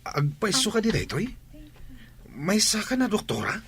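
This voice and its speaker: A man's voice: sits high, very animated, moderate pace, fairly bright tone. An actor playing a reassuring village husband and father in an Ilocano radio drama. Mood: astonished. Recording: busy crowd noise behind him.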